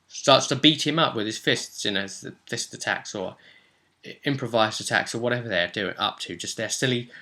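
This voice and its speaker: A British man with a strikingly bright, forceful voice at an average male pitch. He talks like a game master casually recapping a session, rambling along in colloquial, story-telling fashion. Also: moderate pace.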